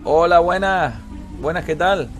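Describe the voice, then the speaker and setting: A man's voice: on the high side, measured pace, over music. A man chatting and commenting casually while gaming, brash and full of swearing. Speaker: streamer playing a roleplay game.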